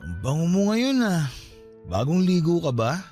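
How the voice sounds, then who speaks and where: An actor with a Filipino accent playing a wounded, drunken young boyfriend in a Tagalog radio drama, pleading and pushy. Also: male voice, on the high side, big pitch swings, a little slow, darkish tone. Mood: clingy, tipsy. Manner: pleading, insistent. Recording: dramatic music bed behind the voice.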